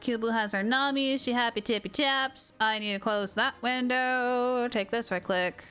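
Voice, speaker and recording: Bright, excitable woman live streaming a game. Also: on the high side, moderate pace, clean tone. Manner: excitable, chatty, jokey. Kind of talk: casual, playful game commentary. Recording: game music behind.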